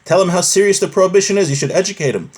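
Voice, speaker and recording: A man's voice: sits high, racing. Man teaching religious law, conversational. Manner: switches between Hebrew quotations and plain explanation. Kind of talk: informal religious lesson, reading and explaining a text.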